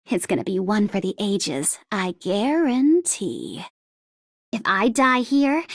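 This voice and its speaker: Female game mercenary captain, sardonic, vengeful, mischievous, theatrical, highish voice, swooping intonation. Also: no echo, clean studio sound. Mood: gleefully vengeful, then sardonic, cocky. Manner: taunting, sardonic, theatrical, with scoffs and sighs. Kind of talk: English video game character voice lines.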